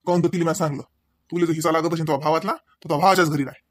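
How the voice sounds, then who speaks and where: Guy doing angry proud cartoon village husband, varhadi marathi accent, high voice, talks fast, scolds. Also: fairly even intonation, fairly bright tone, no echo. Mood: firm, proud. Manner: scolding, firing off rhetorical questions. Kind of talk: amateur comedy cartoon dialogue.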